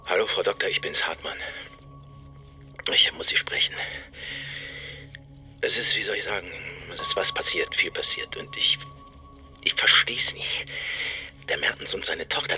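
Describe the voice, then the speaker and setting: An actor playing a rattled, distrustful police detective in a radio drama, confiding in a halting, colloquial, wry way. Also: male voice, fairly low pitch, sharp tone, heavily acted. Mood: shaken, troubled. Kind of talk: naturalistic radio drama monologue.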